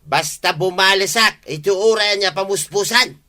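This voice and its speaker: An actor playing a male villager in a radio comedy, teasing his friend in a brisk way. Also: high voice, pushed and bright. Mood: evasive. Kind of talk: radio comedy drama acting.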